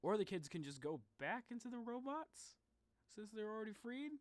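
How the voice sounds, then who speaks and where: A man's voice: high voice, sing-song, moderate pace, dry recording. A man doing casual live game commentary as a streamer, talking in slangy, reactive exclamations.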